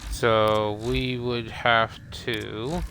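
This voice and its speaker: A man's voice: mid-range voice, extremely slow, creaky. A man streaming a video game, thinking aloud casually and muttering to himself as he reads from the screen. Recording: steady loud background noise.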